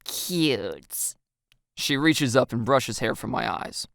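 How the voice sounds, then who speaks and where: Very low-pitched woman narrating a story. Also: normal speed, creaky, dry recording, clean studio sound. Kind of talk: first-person scripted story narration.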